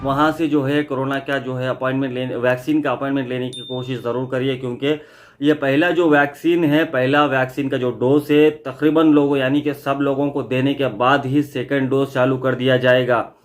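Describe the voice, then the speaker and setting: A man's voice: medium pitch, fairly even intonation, normal speed. Man giving an informal news update. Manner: explanatory, repeats points for emphasis. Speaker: vlog host.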